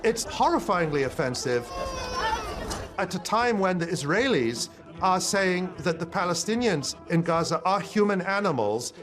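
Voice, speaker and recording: A man comments in an interview with deliberate indignation. His voice sits slightly high for a man. Soft music plays in the background. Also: lively intonation.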